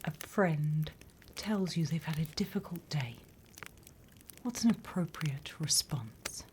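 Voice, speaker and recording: A British woman whispering in an ASMR roleplay as a stern, dry demon instructor, breathy and close to the microphone. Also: low-pitched, lively intonation. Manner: slow, deliberate, dry instructing. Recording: close whisper with background hiss.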